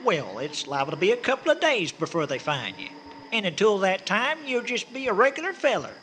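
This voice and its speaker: A high, very animated Southern American country man, played by a male actor in a comic radio drama. He is folksy, insistent and good-hearted, heard over faint noise. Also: brisk. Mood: friendly, persuasive. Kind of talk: comic old-time-style radio drama.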